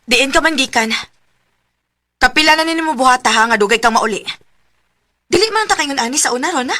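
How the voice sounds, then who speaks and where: A woman's voice: sits high, lively intonation, brisk, pushed and bright. An actress with a Visayan Filipino accent playing a stern, scolding mother of a grown daughter in a radio drama. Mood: angry, hurt.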